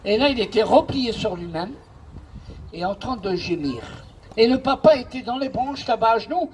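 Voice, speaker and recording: Male pastor with a notably high voice, preaching with emphatic repetition and storytelling. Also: expressive, moderate pace, slight background noise, rough recording. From Paris region, France. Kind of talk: preaching with congregation responses.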